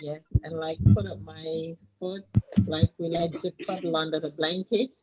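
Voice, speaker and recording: A woman's voice: low voice, fairly even intonation, normal speed, heavy background noise. A woman giving casual answers on a couples panel, hesitant and repeating herself. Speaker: panel participant, wife. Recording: muffled event audio with loud music.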